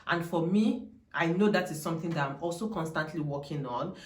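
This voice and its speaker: A woman's voice: low voice, a little fast, darkish tone, echoey room. A young woman with a Nigerian accent, talking casually and emphatically to camera, wandering into digressions and repeating her points. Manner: chatty, digressive, emphatic repetition. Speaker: vlogger.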